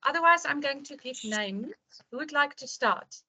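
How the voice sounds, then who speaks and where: A woman's voice: high-pitched, lively intonation, normal speed, bright, forceful tone, low-quality recording. A woman instructor opening an online course, repeating her questions and praising answers, with many fillers. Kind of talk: online class intro.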